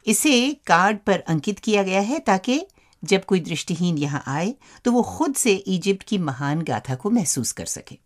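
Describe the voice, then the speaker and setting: A woman with an Indian accent co-hosting a scripted radio show in a playful, expressive manner. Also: medium pitch, measured pace. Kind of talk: scripted radio presenting and banter.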